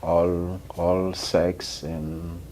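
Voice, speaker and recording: A man from Haiti with a Haitian accent, a physician guest answering interview questions in a hesitant, halting way full of ums. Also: low-pitched, level tone, darkish tone, a little hiss or hum.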